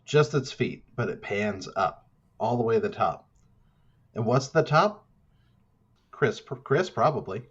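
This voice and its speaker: A man's voice: mid-range voice, lively intonation, measured pace, long pauses, slightly rough. A man improvising film-style scene directions with casual banter, on a slightly dull microphone. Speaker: scene-setting director in tabletop game.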